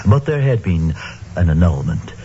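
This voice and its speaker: A man's voice: deep voice, sing-song, normal speed. A man playing a compassionate, genteel minister in an old-time radio drama, speaking earnestly and formally. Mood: solemn sympathy. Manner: earnest, formal, gently pious. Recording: dull, noisy old radio recording.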